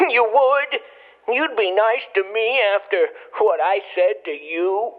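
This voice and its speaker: An actor playing a grumpy, stiffly formal neighbour calling in to a radio play, heard through a telephone filter. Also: male voice, sits high, slow pace. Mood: softened, grateful. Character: grumpy adult male neighbour caller, softening to grateful. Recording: thin, band-limited phone-call sound.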